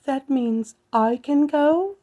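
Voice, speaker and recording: A man voicing a sweet, gentle, wistful young woman in a children's fairy tale. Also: very high-pitched, takes it slowly, highs cut off, pitched above the performer's own voice. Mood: hopeful. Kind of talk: children's storybook character voice.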